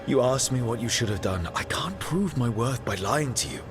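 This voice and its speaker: A man's voice: medium pitch, lively intonation, normal speed, music in the background, lightly acted. An actor playing a determined male adventurer in a fantasy video game, speaking earnestly and plainly. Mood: firm, persuasive. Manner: earnest, plain-spoken persuasion. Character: earnest, determined heroic male adventurer pleading his case. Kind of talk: dramatic video game dialogue.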